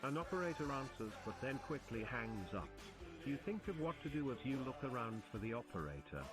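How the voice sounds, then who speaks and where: A man's voice: medium pitch, sing-song, fairly quick, over music. A man reading second-person text adventure narration aloud as a story narrator.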